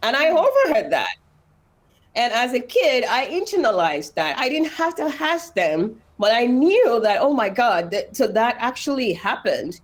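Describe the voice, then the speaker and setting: Earnest Nigerian woman with a lively voice. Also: fairly high pitch, measured pace. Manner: earnest, emphatic, repeats phrases for weight. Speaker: interview guest. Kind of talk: personal storytelling in an interview.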